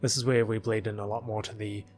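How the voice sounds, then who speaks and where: A man with a slightly deep voice records casual video commentary. He rambles in an offhand way, close to the mic, with music playing underneath. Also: little pitch movement, fast, a little mellow. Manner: rambling, chuckling asides. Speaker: video creator, film editor.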